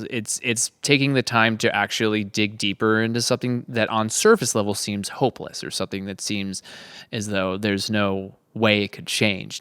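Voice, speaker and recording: A man's voice: on the low side, pushed and bright, smooth, no echo, clean studio sound. A man hosting a casual conversation, speaking earnestly and feeling his way through his thoughts with rephrasing. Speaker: host of a production log podcast.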